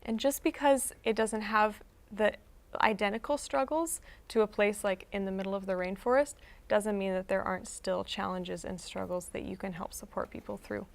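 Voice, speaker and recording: A woman's voice: medium pitch, fairly even intonation, normal speed, gravelly. A young woman, a nurse, answering thoughtfully and in a friendly way as a guest in a faith interview. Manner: thoughtful, friendly, with some hesitations. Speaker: guest, emergency room nurse.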